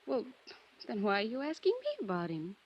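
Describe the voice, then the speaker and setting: An American actress playing a friendly, teasing office secretary, breezy and bantering, in a vintage radio drama. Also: female voice, on the high side, wildly swooping pitch, normal speed, husky, exaggerated voice. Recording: dull vintage radio recording.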